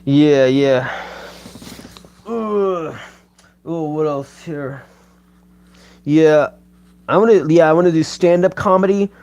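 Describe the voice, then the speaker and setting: A man of about twenty on a livestream, rambling and halting with lots of fillers and swearing as he reads his chat. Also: a little high, darkish tone, lo-fi sound. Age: 20s. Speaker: YouTuber reading live chat. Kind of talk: rambling casual livestream talk.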